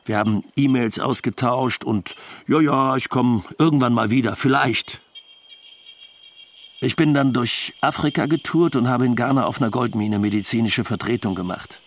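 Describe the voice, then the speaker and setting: A man's voice: medium pitch, moderate pace, natural voice. A man playing a self-ironic, talkative expatriate doctor, telling his story in a dry, colloquial radio play monologue. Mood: dry, reflective.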